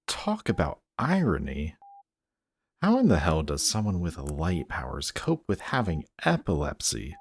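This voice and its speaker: A man's voice: deep voice, exaggerated voice. A man acting a sarcastic, guarded villain in an audio roleplay, with a snarky, casual delivery that grudgingly shows care. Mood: curious, teasing. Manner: snarky, dry, casual teasing.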